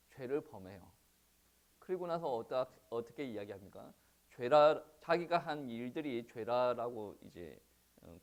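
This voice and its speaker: A male pastor with a Gyeongsang Korean accent preaching a sermon at a church service, asking the congregation rhetorical questions and pausing on "eo" fillers. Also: mid-range voice, darkish tone.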